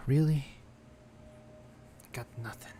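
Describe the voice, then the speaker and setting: Brief: male streamer, very slow and mellow, quietly muttering through gameplay. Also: medium pitch, a little hiss or hum. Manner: mutters to himself while playing. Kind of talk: casual gameplay commentary.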